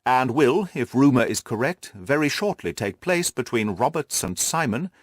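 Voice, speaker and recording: An earnest, orderly gentleman companion from an audiobook, played by a male reader, speaking with measured care. His mid-pitched voice moves expressively, recorded dry. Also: expressive, no room sound, natural voice. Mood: measured, informative. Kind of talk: audiobook character voice.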